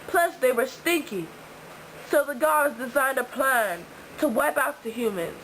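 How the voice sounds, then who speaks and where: A woman's voice: high voice, animated, unhurried, a bit bright, slight background noise, rough recording. A teenage girl narrating a school puppet show playfully, then interviewing classmates. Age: teens. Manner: playful, giggly.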